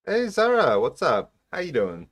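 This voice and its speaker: A man's voice: a little high, wildly swooping pitch, normal speed, a bit bright. A man in casual chat among voice actors, teasing and joking. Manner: teasing, jokey. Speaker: fan voice actor.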